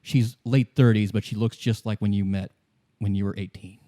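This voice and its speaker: A man narrating a tabletop game in the second person as game master, improvising in a halting way and repeating phrases. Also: lowish voice, steady tone, warm tone.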